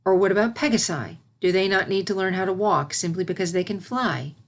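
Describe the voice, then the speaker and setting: A woman voicing a patient, gentle royal pony princess who teaches and consoles her pupil in a storybook reading. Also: mid-range voice, natural voice. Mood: patient, teaching. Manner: measured, instructive, consoling.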